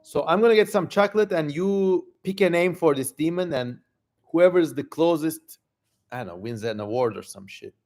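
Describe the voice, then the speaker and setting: A man's voice: fairly high pitch, animated, normal speed, a little mellow. A man hosting a livestream, chatting casually and informally with some swearing as he comments. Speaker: livestream host.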